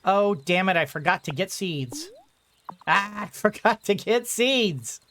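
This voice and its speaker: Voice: mid-range voice, animated, a little slow, a bit bright. A game streamer giving casual commentary, rambling and thinking aloud while playing.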